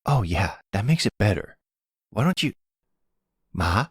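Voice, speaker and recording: Casting: male actor as a defiant young hothead in a mafia audio drama, whiny, pitched up, swooping intonation. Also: big pitch swings, a little slow, husky, dry recording, studio quality, full character voice. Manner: whiny, defiant, stammering when rattled. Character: hot-headed, panicky young adult son in mob trouble. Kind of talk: audio roleplay acting.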